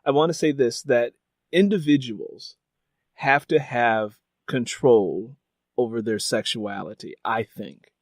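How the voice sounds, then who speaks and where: A man hosting a conversational interview, speaking reflectively and working through his points in halting, repeated phrases. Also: medium pitch, no room sound, studio quality. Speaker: interview host. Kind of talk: conversational radio-style interview.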